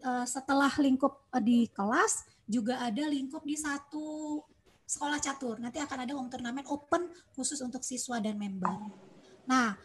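A woman giving an online presentation for a chess school over a video call, explaining programmes with frequent pauses and fillers. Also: high voice. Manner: hesitant, many fillers, explanatory.

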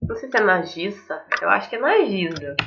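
Bright-voiced young Brazilian woman gaming. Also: medium pitch, sing-song, a little fast, gravelly, dull audio, slight background noise. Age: young adult. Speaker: gaming channel host. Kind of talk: casual let's play commentary. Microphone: headset.

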